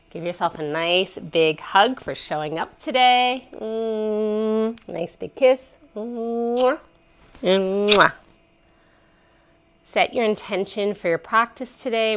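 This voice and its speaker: A woman with a cold and a stuffy, congested voice, leading a gentle home yoga class with soft, encouraging cues and contented sighs. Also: mid-range voice. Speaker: yoga instructor. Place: messy living room at home.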